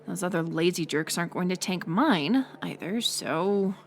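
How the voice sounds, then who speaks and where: A young woman playing a mocking college bully in an audio roleplay, sarcastic and teasing, with a hidden softer side. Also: fairly low pitch, moderate pace, close to an everyday voice. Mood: dismissive. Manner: sarcastic, teasing, scoffing with sighs and breathy asides. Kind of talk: audio roleplay acting, intimate one-sided dialogue.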